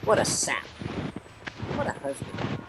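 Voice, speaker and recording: A man's voice: unhurried, very noisy, close to an everyday voice. An American man playing a bumbling, self-mocking husband in old-time radio comedy, stammering and flustered. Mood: self-reproachful.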